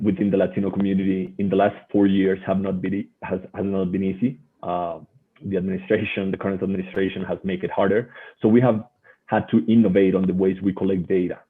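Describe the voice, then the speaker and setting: A man with a Colombian Spanish accent presenting as a guest researcher in a webinar, haltingly and often repeating words. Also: low-pitched, flat delivery, husky. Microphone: online video call. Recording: muffled, phone-like webinar audio.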